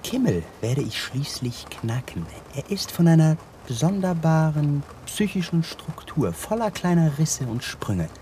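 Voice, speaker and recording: High-voiced, sardonic police detective. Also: male voice, big pitch swings, a little slow, few pauses, mellow, a little hiss or hum. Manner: mocking, clipped, insinuating. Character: sardonic, needling male police detective, relentless and suspicious. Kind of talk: 1980s German radio drama acting.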